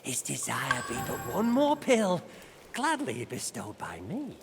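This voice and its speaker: High-pitched, creaky old deity. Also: male voice, huge pitch swings, a little slow, over music, full character voice. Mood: wry, lofty. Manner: archaic, theatrical, singsong verse delivery. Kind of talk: fantasy video game acting.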